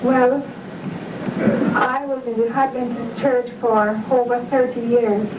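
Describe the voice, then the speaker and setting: Woman, earnest convert, higher voice; echoey, distant archive recording. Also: level tone. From Jamaica. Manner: earnest, measured, convinced. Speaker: interviewee, church member. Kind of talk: earnest testimony on video. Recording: dull, echoey room from far off, noisy.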